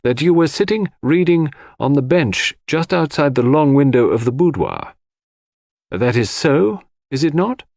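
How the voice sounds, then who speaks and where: Brisk formal coroner, acted by a male narrator. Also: mid-range voice, big pitch swings, darkish tone, no room sound, studio quality, pitched above the performer's own voice. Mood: probing, deliberate. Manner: brisk, deliberate, official. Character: brisk, formal, courteous male coroner presiding over inquest.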